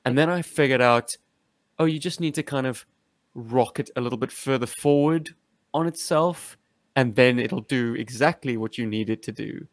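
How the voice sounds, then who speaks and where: A man's voice: mid-range voice, normal speed, no echo. A man hosting a casual, slangy chat about action figures as a collector. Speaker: podcast host and toy collector.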